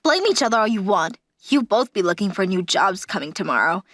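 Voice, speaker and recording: A woman's voice: mid-range voice, very animated, a little fast, a bit bright, dry recording, studio quality. A woman playing a composed, sincere company auditor in a manga dub. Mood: stern.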